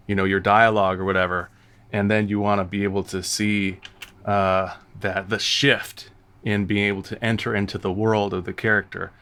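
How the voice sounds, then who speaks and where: An American man hosting a reaction video, talking off the cuff in an animated, exclamatory, halting and rambling way. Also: fairly low pitch, smooth. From United States. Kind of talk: casual, off-the-cuff reaction commentary. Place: studio.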